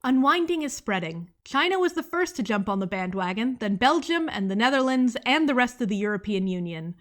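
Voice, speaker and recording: A young woman talks through a book in a video essay, her voice sitting a bit higher than most. She sounds earnest and analytical, and she wanders into side remarks with a small laugh before picking her script back up. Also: sing-song, a little fast, a bit bright. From United States. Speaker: book reviewer.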